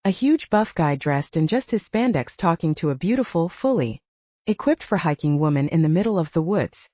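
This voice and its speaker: A woman reads a first-person audiobook story in a mellow, fairly low voice. Her pitch moves around a great deal as she narrates. The recording is a little dull. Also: animated, normal speed, no room sound.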